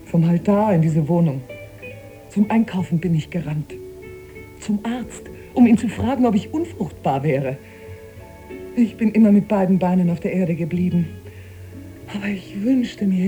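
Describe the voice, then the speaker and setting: Actress as a dry, cutting wife, lowish voice. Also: female voice, warm tone, lightly acted. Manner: dry, reproachful. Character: sober, cutting wife, resigned yet resentful. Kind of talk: German radio play acting.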